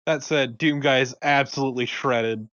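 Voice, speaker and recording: Dude riffing on a video game, blunt and sweary, middle-pitched voice. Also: male voice, steady tone, moderate pace, fairly bright tone, no echo, dull audio. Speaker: let's play commentator. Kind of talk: casual, joking game commentary.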